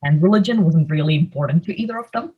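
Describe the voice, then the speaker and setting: A woman's voice: sits low, expressive, fast talker, husky, narrow, tinny audio. A young woman from India giving a conversational slide presentation over a video call, with plenty of fillers. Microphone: computer mic, video call.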